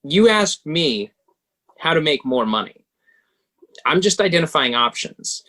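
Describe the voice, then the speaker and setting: A man playing a pragmatic, smooth-talking banker who coolly advises and persuades, in a table read of a stage musical. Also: fairly high pitch, big pitch swings, measured pace, sharp tone, exaggerated voice. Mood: persuasive, pressing.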